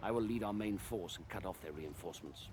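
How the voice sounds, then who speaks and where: A middle-aged man playing a stern Roman army commander who speaks in a measured, authoritative way. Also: low-pitched, natural voice. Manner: measured, authoritative, sharp rebukes. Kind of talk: video game cutscene acting. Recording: noisy background.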